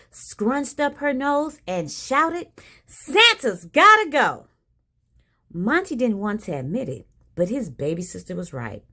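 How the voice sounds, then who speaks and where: A woman reading a children's picture book aloud in an expressive style with dramatic emphasis. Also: fairly high pitch. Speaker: storybook reader. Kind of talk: animated children's read-aloud.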